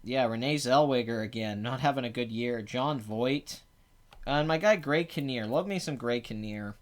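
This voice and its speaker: A man with a raspy, phlegmy voice and a clogged throat, hosting a casual, rambling box office talk with blunt, sarcastic asides. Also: mid-range voice, fairly bright tone. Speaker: box office show host. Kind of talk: casual live commentary.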